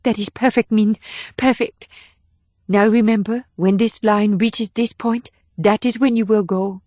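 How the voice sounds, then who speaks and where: A young woman agent, tender and guarded, speaks in a hushed, gentle voice, put on by a male narrator. The voice sits well above his own range and stays quiet. The recording is dry and close. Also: high voice, steady tone, a little slow, no room sound, pitched above the performer's own voice.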